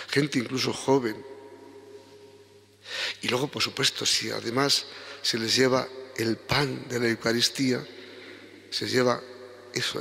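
A man's voice: mid-range voice, creaky, a bit bright. An older man in his sixties, a priest with a Spanish accent from Spain, preaching a homily at Mass in a conversational way that rises to fervent emphasis. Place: large church, indoors. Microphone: stand microphone at lectern. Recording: long church reverb.

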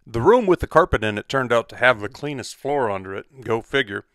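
A man's voice: medium pitch, lively intonation, a little fast, a bit bright, no room sound, clean studio sound. Easygoing middle-aged man, wry vlog narration. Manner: easygoing, wry, matter-of-fact. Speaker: vlogger. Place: outdoors in a pine forest.

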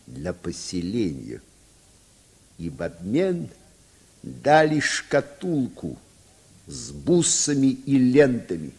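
An actor playing a proud, grave old Mohican chief in a solemn, declamatory mid-century Soviet children's radio play. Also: male voice, low voice, a little mellow, breathy edge, full character voice.

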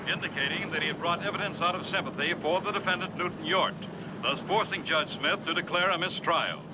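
An actor playing a brisk, impersonal radio news announcer reading a scripted bulletin in a 1960s television drama. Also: male voice, on the high side, heavy background noise, natural voice. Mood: neutral, official.

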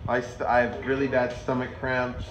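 A man's voice: mid-range voice, monotone, moderate pace. A young man with a nasal, stuffed-up voice, rambling casually as he vlogs a small apartment tour on his phone. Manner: rambling, self-correcting, offhand jokes. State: nasal from a cold. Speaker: vlogger. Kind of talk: casual handheld vlog. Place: small city apartment indoors. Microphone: phone held in hand. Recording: noisy phone recording.

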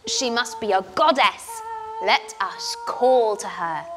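A woman's voice: mid-range voice, big pitch swings, takes it slowly, voice pushed down. A woman voicing a pompous, jolly male sea captain who talks in a fussy, old-fashioned polite way. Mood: courtly.

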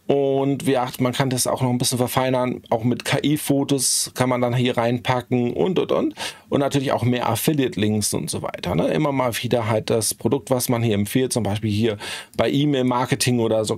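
A hoarse man in his forties giving a chatty screen-share tutorial from a small home studio, tagging sentences with "ne?". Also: medium pitch, a little mellow, dry recording. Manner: chatty, frequent "ähm" and "ne?" tags. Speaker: online marketing YouTuber.

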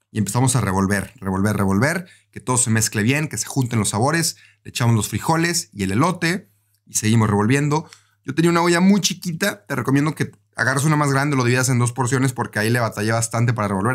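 Mexican man narrating a recipe casually. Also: mid-range voice. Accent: Mexican Spanish. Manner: informal, conversational, addressing the viewer directly. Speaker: host of a cooking channel.

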